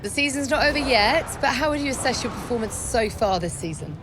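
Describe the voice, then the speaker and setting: A woman's voice: fairly high pitch, animated, a little fast, background noise, natural voice. A woman playing a polite, probing motorsport reporter, professional and direct, in a game interview scene. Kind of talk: game acting of a broadcast interview.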